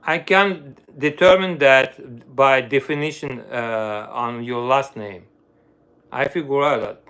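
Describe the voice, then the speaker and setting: A middle-aged man speaks English with an Armenian accent, slowly and without hurry. He pauses often with "uh" as he hunts for words, and his grammar slips now and then. His voice sits at an ordinary middle pitch. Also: a bit bright, smooth. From Armenia, grew up in the Soviet Union. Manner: searches for words, fillers, broken grammar. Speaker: livestream host, violinist. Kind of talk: casual live-stream chat with viewers.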